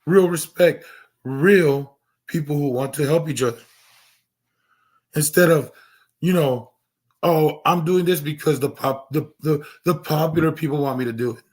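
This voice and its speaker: Hoarse man losing his voice. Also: on the high side, a little slow, long pauses. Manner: earnest, rambling with many restarts and fillers. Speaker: streamer. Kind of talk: casual livestream monologue.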